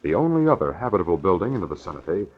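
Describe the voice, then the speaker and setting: A man's voice: low-pitched, big pitch swings, racing, husky, full character voice. A man delivering grave, scripted 1940s radio narration for a crime serial, on a muffled old recording with faint noise. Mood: grave, suspenseful.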